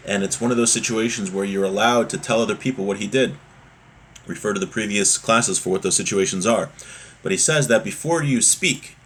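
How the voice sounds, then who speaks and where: A man teaches a religious class in a husky, mid-pitched voice. He moves between reading from a text and explaining it. He often restates a point in new words to drive it home. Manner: explanatory, repeats and rephrases for emphasis.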